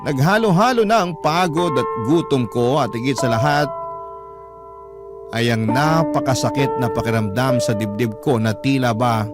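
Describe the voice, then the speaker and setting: Filipino man, mid-pitched, emotive sorrowful storytelling, scripted narration, prominent music bed. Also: moderate pace. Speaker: narrator reading a listener's letter.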